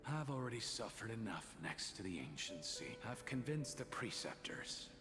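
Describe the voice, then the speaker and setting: An actor playing a solemn, weary leader in a game, with a measured, grave and formal delivery. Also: male voice, lowish voice, very animated. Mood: grave, resolute. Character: solemn, weary male Vidyadhara leader with hidden anguish. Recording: long echo, music behind.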